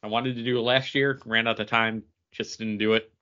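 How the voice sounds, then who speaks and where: A man with a bright, punchy voice at middling pitch chats casually as a podcast co-host. He speaks in short, choppy phrases on a somewhat muffled recording. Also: level tone, a little fast. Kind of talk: casual chat. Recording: dull lo-fi audio.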